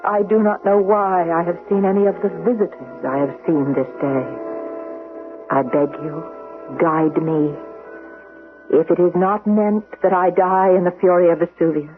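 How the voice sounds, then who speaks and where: A woman's voice: on the low side, fairly even intonation, normal speed, over music, close to an everyday voice. An actress playing a troubled, intense Roman noblewoman who foresees catastrophe, in theatrical 1970s radio drama style. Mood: solemn, pleading. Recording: noisy, phone-like old radio broadcast.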